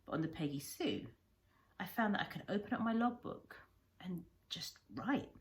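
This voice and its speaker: A woman reading a storybook aloud like a primary teacher, with deliberate, expressive phrasing. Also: fairly low pitch.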